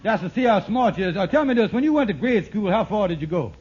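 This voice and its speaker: A man's voice: sits high, expressive, fast, a little mellow, slightly rough. An actor playing a scheming, blustering husband and con man with poor grammar in an old radio sitcom. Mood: blustering, slick. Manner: blustering, hesitant, ungrammatical. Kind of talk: mid-century radio sitcom acting. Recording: muffled, noisy vintage radio recording.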